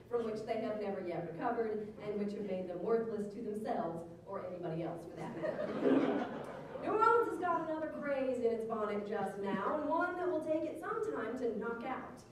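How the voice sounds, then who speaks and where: High-voiced woman reading in an echoey hall. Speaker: reader at a live literary reading. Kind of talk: theatrical reading aloud of old newspaper articles. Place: museum lecture hall with live audience. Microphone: stand microphone on stage, distant camera recording. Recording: echoey, noisy hall recorded from far off.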